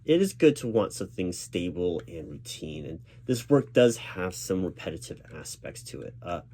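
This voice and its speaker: A man doing a spoken ASMR job interview roleplay as a reassuring, gently professional interviewer who speaks a little hesitantly. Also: sits low, very animated, moderate pace. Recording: clear background noise of keyboard typing. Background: computer keyboard typing.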